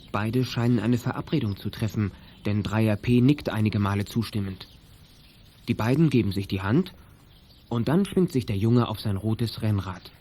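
A man's voice: medium pitch, mellow, slight background noise. A man reading scripted narration for an audio drama.

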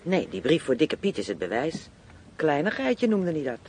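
A man's voice: a little high, huge pitch swings, normal speed, a little mellow. A man acting a brash, streetwise Dutch criminal underling in a radio play, blunt, coarse and slangy. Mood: matter-of-fact. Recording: faint noise, radio play recording.

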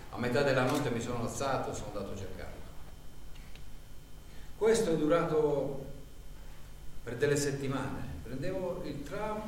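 A man's voice: medium pitch, background noise, natural voice. A man playing a lonely abandoned man, echoing. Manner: slow, halting, introspective delivery. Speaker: stage actor in a radio theatre broadcast. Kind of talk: theatrical monologue. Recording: echoey stage recording from far off.

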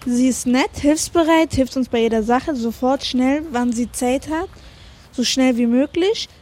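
A woman's voice: high voice, measured pace, darkish tone. A teenage girl, a school pupil, casually explaining things to the microphone in a documentary. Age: teens.

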